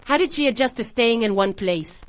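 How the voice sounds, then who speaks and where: A woman playing a gentle, wistful mother who is new in town, in a radio drama, speaking politely and tentatively. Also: on the high side, expressive, moderate pace, lightly acted. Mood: tender.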